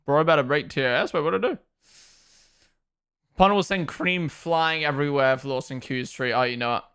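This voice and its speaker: A young man with a mixed New Zealand and Australian accent, casually commentating a live watchalong and chatting with viewers, with frequent quick asides. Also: on the high side, clear, resonant tone. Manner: chatty, quick asides, reacts loudly to on-track moments. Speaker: streamer hosting a watchalong.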